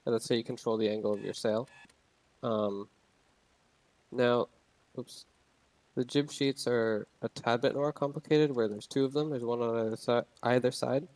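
A man's voice: medium pitch, fairly even intonation, normal speed, pauses often, a little mellow, no echo, low-quality recording. A young man casually explaining a game's sailing controls, rambling with many fillers and restarts. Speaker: game tutorial narrator. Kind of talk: casual gameplay tutorial.

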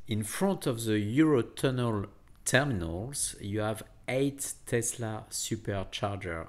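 Slow-paced man narrating, fairly low voice. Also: slight background noise. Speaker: vlogger. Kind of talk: casual travel vlog narration.

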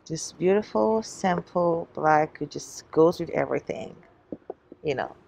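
Slow-talking, low-pitched woman vlogger with gravelly creak. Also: a little mellow, poor audio. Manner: musing, chatting to viewers while browsing. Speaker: shopping vlogger. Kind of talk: casual shop-with-me vlog chat. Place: inside a large shoe store. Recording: faint background store noise.

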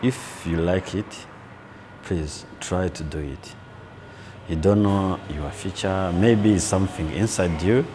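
Male, thirties, very deep voice, interview delivery, noisy surroundings. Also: slow. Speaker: sous chef. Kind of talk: interview answers. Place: indoor room with shelves, seated on camera.

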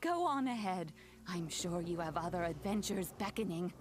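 An actress voicing a kindly, proud old granny in a video game, with a gentle, measured, reassuring manner. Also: female voice, fairly low pitch, animated, close to an everyday voice. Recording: game music behind.

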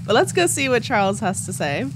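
A woman's voice: on the high side, big pitch swings, fairly quick, noisy background. A young woman with a stuffy, congested nose, giving chatty, frank commentary on a video game and laughing often. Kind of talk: casual reaction commentary. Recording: game music playing under voice. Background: game music underneath.

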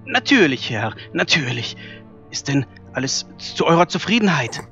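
A man's voice: a little high, sing-song, moderate pace, dull audio, over music. A man playing an anxious, deferential valet in a fantasy audio drama, eager to please. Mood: worried, apologetic. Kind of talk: fan-made fantasy audio drama acting.

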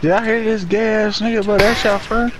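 A man's voice: high-pitched, level tone, brisk, noisy background. A young man defending himself and boasting to friends over game chat. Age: young adult. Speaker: gamer in party chat. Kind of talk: casual banter.

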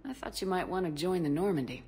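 Game commander, female actor: supportive military woman, steady and direct, sincere delivery, music clearly underneath. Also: fairly low pitch, fast, close to an everyday voice. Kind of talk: video game dialogue acting.

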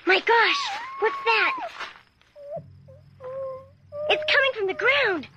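Excitable, high-voiced young girl. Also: measured pace, muffled recording, heavily acted. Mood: alarmed. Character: earnest, excitable ten-year-old American girl narrator. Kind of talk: dramatized first-person radio drama narration.

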